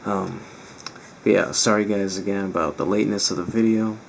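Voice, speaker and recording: A man hosting a reaction video, chatting casually and a bit ramblingly to viewers in a strained, raspy voice. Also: on the low side, brisk, rough recording. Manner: rambling, informal. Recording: faint background noise.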